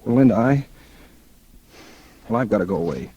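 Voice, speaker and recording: A man's voice: fairly low pitch, lively intonation, normal speed, dark tone, husky, highs cut off. An actor playing a cynical, scheming male writer in a 1940s radio drama, talking in a colloquial, calculating way. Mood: feigned reluctance. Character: smooth scheming male writer, cynical opportunist courting a dying heiress. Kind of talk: 1940s radio drama acting, first-person noir narration. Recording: noisy vintage radio recording.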